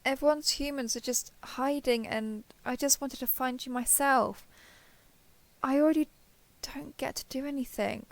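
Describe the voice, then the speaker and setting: A woman's voice: sits high, moderate pace, no echo. A woman playing a sheltered young female alien, naive and obsessively devoted, talking earnestly and intimately to the listener in an audio roleplay. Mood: smitten, insistent. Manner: earnest, wheedling, over-explaining.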